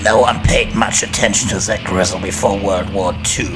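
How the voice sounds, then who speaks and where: An actor playing a gleefully ghoulish old storyteller who narrates a horror audio drama in a macabre, mock-sinister manner. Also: male voice, lowish voice, steady tone, moderate pace, natural voice. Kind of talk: theatrical horror anthology narration. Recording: roomy echo, distant, loud music underneath.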